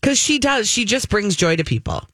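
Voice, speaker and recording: A woman's voice: mid-range voice, sing-song, normal speed, fairly bright tone, no room sound, studio quality. An American woman co-hosting a casual radio chat, speaking directly and emphatically to listeners, often repeating her points. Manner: emphatic, direct address to listeners, conversational repetition. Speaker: radio show co-host.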